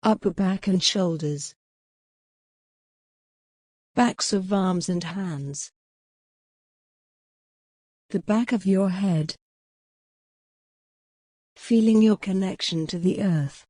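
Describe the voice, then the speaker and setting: Very slow, gentle woman guiding meditation. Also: mid-range voice, dry recording. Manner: gentle, soothing, measured with long pauses. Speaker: meditation guide. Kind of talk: guided mindfulness meditation narration.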